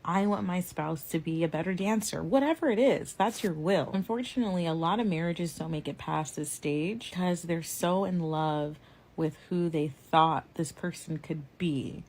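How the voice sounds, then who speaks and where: A woman's voice: fairly low pitch, normal speed, a little mellow. An American woman in her thirties explaining relationship advice from personal experience directly to viewers.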